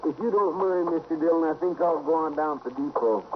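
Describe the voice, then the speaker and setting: Guy doing earnest folksy cowboy sidekick, country american drawl, highish voice, tinny old radio recording. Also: brisk, background noise, close to an everyday voice. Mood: polite, folksy. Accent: rural American. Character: folksy, deferential marshal's sidekick in the Old West. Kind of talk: 1950s radio western acting.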